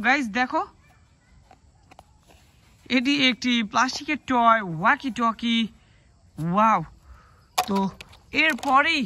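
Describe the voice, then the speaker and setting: A host presenting a children's toy video to viewers in an excited, exclamatory way. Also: high voice. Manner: exclamatory, showing items to the audience.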